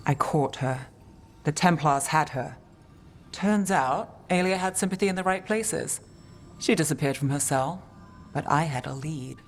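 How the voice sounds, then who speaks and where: A low-voiced, jaded woman investigator. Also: unhurried, faint music behind. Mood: bitter, grieving. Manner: terse, weary, guarded. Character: jaded, hard-bitten woman investigator in a fantasy city. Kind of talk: dramatic video game dialogue.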